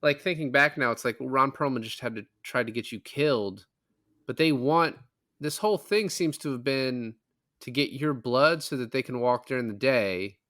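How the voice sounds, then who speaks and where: Male podcast co-host, mid-low easy register, conversational explainer, dry recording with little room sound. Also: normal speed, a bit bright, dry recording. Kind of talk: casual film discussion.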